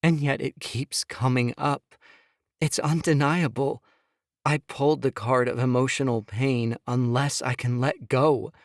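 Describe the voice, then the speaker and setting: A man's voice: medium pitch, fairly even intonation, measured pace, dry recording, clean studio sound, pitched above the performer's own voice, natural voice. A frightened young mother pleading through tears, as a male narrator puts her on. The voice is lifted well above the reader's own and pushed hard with strain. It is a storybook figure, anxious and despairing.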